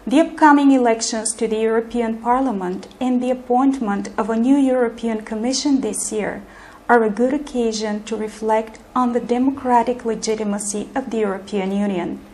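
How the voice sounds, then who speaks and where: A woman giving scripted narration in a measured, formal tone. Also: a little high, fairly even intonation, dark tone, low-quality recording.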